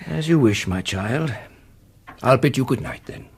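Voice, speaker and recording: A man's voice: mid-range voice, expressive, unhurried, slightly rough. An actor playing an absent-minded, devoted elderly scientist father in a radio drama, with formal diction. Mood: concerned, fatherly.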